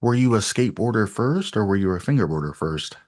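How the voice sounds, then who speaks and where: A man hosts a podcast, his voice sitting low. He talks in a loose, casual way and scatters "you know" through his sentences. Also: expressive, a little fast, a little mellow. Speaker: podcast host. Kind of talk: casual interview chat.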